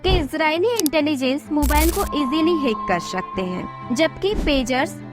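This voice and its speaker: A woman's voice: sits high, animated, unhurried. A woman with an Indian accent hosting an explainer video in a scripted narration style. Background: music.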